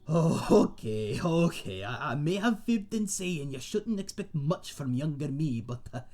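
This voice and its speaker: A man playing a friendly tavern owner and former sailor, husky and chatty, telling tales in a folksy seafaring dialect in a fantasy audio roleplay. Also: fairly high pitch, big pitch swings, unhurried, warm tone. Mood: warm, jovial. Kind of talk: ASMR roleplay monologue in a fantasy setting.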